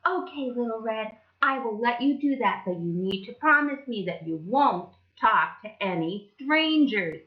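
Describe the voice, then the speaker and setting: A woman reading a storybook, voicing a caring mother with a calm, gentle, cautioning manner, in a dull, echoey room. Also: fairly high pitch, unhurried, hardly any pauses.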